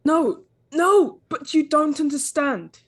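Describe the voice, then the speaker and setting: A woman's voice: high voice, expressive, takes it slowly. A woman playing earnest, dramatic gothic teenagers in a comedic dramatic reading of fan fiction. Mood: pleading.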